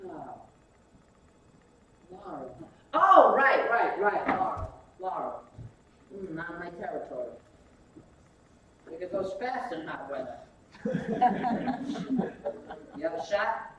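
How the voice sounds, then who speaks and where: An actor playing a coarse, swaggering working-class husband who drawls and mocks lazily in a 1950s film drama. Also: male voice, high-pitched, very animated, slow, pauses often, fairly bright tone, a little hiss or hum, heavily acted. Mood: mocking. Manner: drawling, lazy, mocking. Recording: dull, echoey, distant old film soundtrack.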